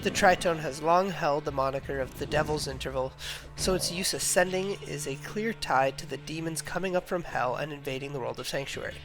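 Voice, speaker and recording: A man's voice: on the high side, rough recording. Young man reading a scripted analysis. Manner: measured, reading from a prepared script. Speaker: student presenting a music analysis. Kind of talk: scripted narration of a video essay. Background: music playing under the voice.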